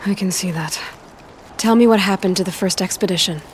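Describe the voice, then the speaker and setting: A woman playing a calm, capable young huntress in a video game, speaking in an understated, wry way. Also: medium pitch, a little fast, a little hiss or hum, natural voice. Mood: concerned. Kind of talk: video game dramatic acting.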